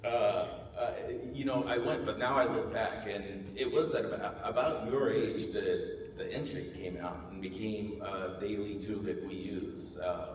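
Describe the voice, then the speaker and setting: Deep-voiced Bulgarian man in an echoey hall. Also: steady tone, unhurried, few pauses. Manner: earnest, self-deprecating, many restarts. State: losing his voice. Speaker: panelist, marketing executive. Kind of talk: conference panel talk. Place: large conference hall stage. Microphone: handheld mic. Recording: echoey hall, distant mic, faint noise.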